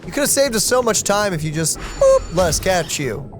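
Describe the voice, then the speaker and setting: Excitable young gamer with lively pitch. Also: moderate pace. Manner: excitable, slangy. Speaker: gamer commentating a playthrough. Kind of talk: casual let's play chat. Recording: game music behind the voice.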